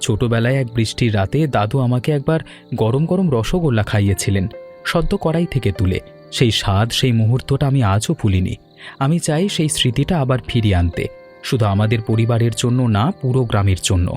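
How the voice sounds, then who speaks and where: A man playing an earnest, secretive young son with fond memories and plans, in an animated story. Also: mid-range voice, a little fast, soft background music, close to an everyday voice. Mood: nostalgic, hopeful.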